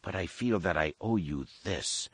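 Man doing a cold, deep-voiced alien warrior. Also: husky, no room sound, exaggerated voice. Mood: coldly menacing. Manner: calm, cold, deliberate. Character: menacing male alien warrior disguised as a soldier.

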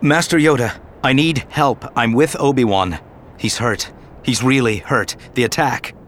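A man's voice: medium pitch, moderate pace, fairly bright tone, a little hiss or hum, pitched above the performer's own voice, close to an everyday voice. A man voicing a young male apprentice who speaks urgently and pleads for help. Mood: urgent pleading.

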